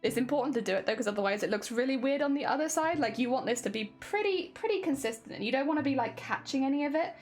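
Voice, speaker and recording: A woman's voice: high-pitched, animated, fast, few pauses, a little mellow, faint music behind. A young woman chatting casually on a craft stream while sewing, rambling with lots of fillers and a slight winter cough.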